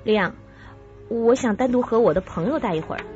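A woman acting an animated, mysterious young heroine. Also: fairly high pitch, brisk, close to an everyday voice. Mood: reserved. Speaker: actress in an audio drama. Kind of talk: suspense radio drama acting. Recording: dull lo-fi sound, loud music behind.

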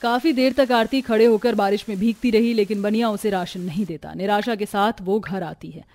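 A woman's voice: mid-range voice, normal speed, a little mellow. A woman narrating a scripted story in a dramatic storytelling style.

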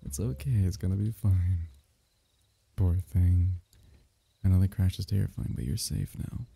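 Guy doing a low gravelly alien symbiote for asmr, husky n breathy, soft possessive coaxing. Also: steady tone, unhurried, dry recording, exaggerated voice. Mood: gently hushing. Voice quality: husky, breathy, often dropping into whisper. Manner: soft, coaxing, intimate, reassuring. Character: possessive, soothing alien symbiote, male, semi-liquid creature. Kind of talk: ASMR roleplay.

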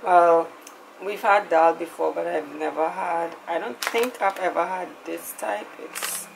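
Slow, low woman with fry. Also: a little hiss or hum, rough recording. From Trinidad. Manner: chatty, tags lines with "right?". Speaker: lifestyle vlogger. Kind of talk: casual product haul.

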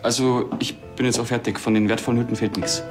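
A man's voice: mid-range voice, fairly even intonation, quick pace, natural voice. A man playing an unassuming, matter-of-fact hat shop assistant in a TV crime comedy. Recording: music behind the voice.

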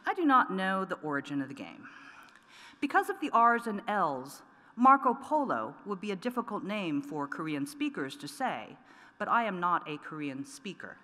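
A woman's voice: on the low side, bright, forceful tone. Woman poet reading in a reverberant hall. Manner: measured, deliberate reading with pointed emphasis. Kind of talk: poetry reading. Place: large wood-paneled auditorium, at a lectern. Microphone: lectern microphone. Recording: long reverb of a large hall.

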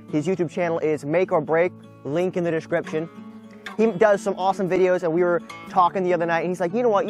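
A young American man presenting a casual vlog to camera, talking emphatically as he demonstrates a build. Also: high-pitched. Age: young adult. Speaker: video creator, presenter. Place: outdoors by a wooden barn and gravel drive.

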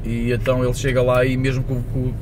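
A man's voice: mid-range voice, monotone, a little fast. A man of around forty with a European Portuguese accent, rambling casually to camera while driving and mixing in English words. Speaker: vlogger. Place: inside a moving van cab. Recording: loud road and engine noise. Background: traffic and vehicle noise.

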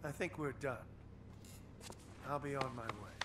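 An actor playing a scheming older government commissioner, formal, measured and grandiose, in a video game cutscene. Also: male voice, mid-range voice, noisy background, natural voice. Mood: businesslike, curt. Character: dignified, scheming older male government commissioner, arrogant and unrepentant. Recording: game music behind the voice.

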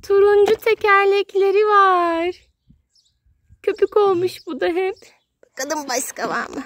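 A woman's voice: very high-pitched, unhurried, a bit bright. A woman narrating play for a small child in short, simple, sing-song exclamations, recorded outdoors. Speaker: children's video host playing with a child. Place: outdoors on grass in a garden.

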